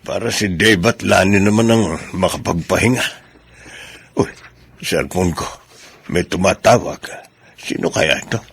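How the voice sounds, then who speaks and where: A man playing a caring, worried older father in a Filipino radio drama, with expressive delivery. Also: low voice, a little slow, creaky, pauses often, husky, full character voice. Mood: calm, musing.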